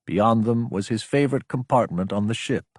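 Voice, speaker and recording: Husky male audiobook narrator, dry sound. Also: medium pitch, normal speed, no room sound. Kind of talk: scripted dramatic fiction narration.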